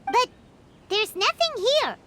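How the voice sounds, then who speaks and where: A woman voicing a tiny, excitable, childlike fairy companion in a video game, squeaky and expressive. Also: slow, slight background noise, full character voice. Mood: curious. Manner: expressive, speaks of self in third person. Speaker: video game character voice actor. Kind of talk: scripted game dialogue.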